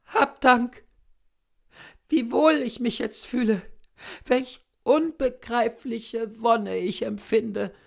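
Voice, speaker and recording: A dying gentlewoman from a storybook, acted out by a man, pious and reproachful. The voice is raised high, plaintive and fervent, drawn out as she laments. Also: fairly even intonation, slow, creaky, exaggerated voice. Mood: blissful. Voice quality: weak, plaintive.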